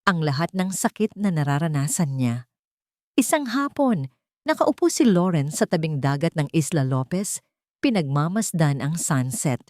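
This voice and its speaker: Low-pitched Filipino woman narrating drama. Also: animated, moderate pace, darkish tone, no echo, clean studio sound. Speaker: audiobook narrator. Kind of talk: scripted dramatic story narration.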